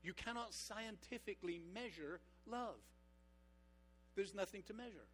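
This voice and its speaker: A man preaching a sermon as pastor, engaging his congregation with rhetorical questions and slowing down for emphasis. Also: high-pitched, a bit bright, a little hiss or hum. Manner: slows down for emphatic points.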